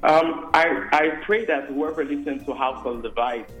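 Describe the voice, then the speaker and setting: A man's voice: a little high, moderate pace, fairly bright tone, reverberant, highs cut off, soft background music. A man from Haiti, a French and Creole speaker, answering radio interview questions earnestly with many fillers. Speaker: guest musician and worship leader.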